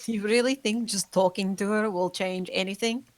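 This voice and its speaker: A woman playing an ancient, seductive, world-weary female vampire in a table read, with formal, deliberate phrasing. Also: medium pitch, steady tone, no echo, close to an everyday voice. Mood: resigned, firm. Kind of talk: scripted drama table read.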